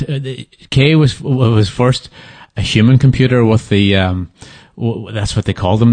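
A man talks in a fairly low voice, stopping and restarting often as he recalls details. The sound is close and dry, with little room around it. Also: darkish tone, no echo. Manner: hesitant, many restarts and fillers. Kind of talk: casual interview answers.

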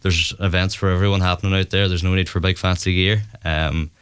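Male guest, low smooth voice, upbeat and promotional. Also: little pitch movement, a little fast. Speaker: sports partnership guest. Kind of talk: radio interview.

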